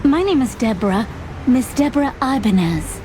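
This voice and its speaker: Woman playing a high-voiced, poised noir femme fatale. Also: animated, normal speed, music in the background, natural voice. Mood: poised, insistent. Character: poised, mysterious noir femme fatale, animal lady client. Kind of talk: film noir game acting.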